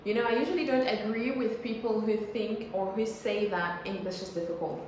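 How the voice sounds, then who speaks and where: Female pronunciation coach, medium pitch, didactic and conversational with careful enunciation, heavily reverberant room, slight background noise. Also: moderate pace, a little mellow. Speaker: English pronunciation coach and air traffic controller. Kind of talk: explanatory pronunciation lesson, conversational. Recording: very echoey room with faint noise.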